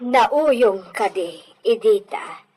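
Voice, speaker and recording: A woman's voice: fairly high pitch, animated, drawn-out words, warm tone, exaggerated voice, poor audio. A woman playing a skeptical, level-headed village confidante in an Ilocano audio drama. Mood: doubtful.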